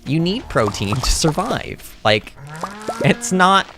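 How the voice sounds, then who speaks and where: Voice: medium pitch, big pitch swings, slow pace, over music. A streamer casually rambling and answering chat during a game livestream, speaking animatedly and emphatically as a native English speaker. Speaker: livestreamer playing a farming game.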